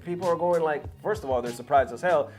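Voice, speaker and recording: A man's voice: on the high side, quick pace, darkish tone. A man from the San Francisco Bay Area talking casually and with animation to viewers as a gaming news host, with slangy, sweary phrasing. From San Francisco Bay Area, California. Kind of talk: casual opinionated vlog talk to viewers. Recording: music playing behind the voice. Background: background music.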